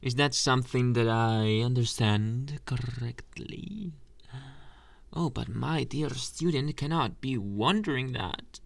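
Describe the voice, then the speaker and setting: A man playing a flirtatious male anime teacher in an ASMR roleplay, coy and teasing, murmuring to the listener with hesitant pauses and pet names. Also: medium pitch, creaky. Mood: intrigued, coy.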